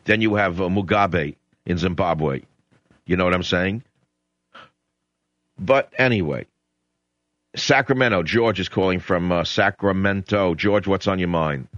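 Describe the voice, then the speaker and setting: A man's voice: low voice, measured pace, pauses often, fairly bright tone, no echo. Sardonic, rambling radio talk-show man. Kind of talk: opinionated radio monologue and call-in chat. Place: radio studio. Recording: dull lo-fi radio broadcast.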